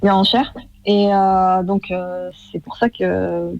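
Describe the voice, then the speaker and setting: Give me a woman in her thirties speaking haltingly, with a creaky, gravelly voice. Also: medium pitch, level tone, dry recording, dull audio. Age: 30s. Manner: hesitant, many fillers and restarts. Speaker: guest, former masterclass student. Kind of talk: casual radio interview.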